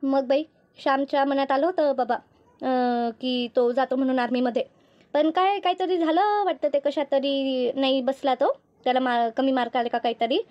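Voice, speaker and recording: Casting: woman to play worried older village aunt for cartoon, high-pitched, rural Maharashtra speech, tender-hearted, dry close recording. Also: steady tone, normal speed, no echo, dull audio, natural voice. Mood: fretful. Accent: rural Maharashtra Marathi. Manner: fretful, repeating herself. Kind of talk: amateur Marathi cartoon dubbing.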